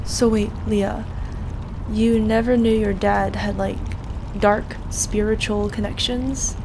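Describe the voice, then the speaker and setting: A woman's voice: medium pitch, flat delivery, slow. A woman acting in an audio roleplay as a skeptical young friend who asks blunt, casual questions. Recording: faint water and boat noise.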